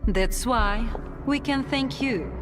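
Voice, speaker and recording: A woman acting as a composed, quietly menacing authority figure in a video game, controlled, deliberate and faintly ironic. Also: on the high side. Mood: composed, probing. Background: music.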